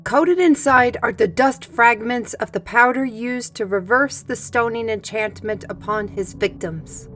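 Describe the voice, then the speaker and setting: A woman's voice: a little high, measured pace, few pauses, a bit bright. A woman playing a spirited young steward on a quest in a fantasy audio drama, earnest and plucky. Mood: defensive, earnest. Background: background music.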